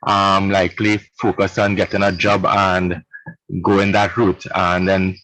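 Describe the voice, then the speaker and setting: Low-voiced man, dry and measured. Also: level tone, a bit bright, no echo, low-quality recording. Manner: measured, thinks aloud. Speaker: radio club member. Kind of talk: casual discussion on a video call.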